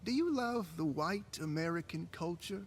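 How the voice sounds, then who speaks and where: Pushy formal bigot in a game, highish swingy voice, bit of noise. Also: male voice, lively intonation, normal speed. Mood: pointed, insistent. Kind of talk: visual novel game dialogue.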